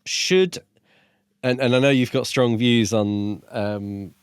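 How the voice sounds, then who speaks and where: A man's voice: mid-range voice, slow pace, smooth, dry recording, studio quality. A man co-hosting a book podcast in casual, reflective discussion, thinking aloud in a tentative way.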